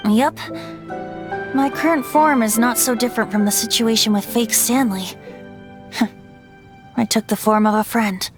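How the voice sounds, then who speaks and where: A woman plays a youthful, boyish bard, a wind spirit in human form. The delivery is lilting and theatrical, playful with a wistful edge, as in an anime game. Music plays clearly underneath. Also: a little high, a little slow, long pauses, close to an everyday voice. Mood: light.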